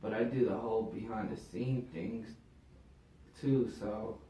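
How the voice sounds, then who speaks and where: A man's voice: fairly low pitch, fairly even intonation, unhurried, mellow, slight background noise. A young man hosting an interview show, casual and slangy, often asking "you know what I mean". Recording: roomy sound, mic at a distance.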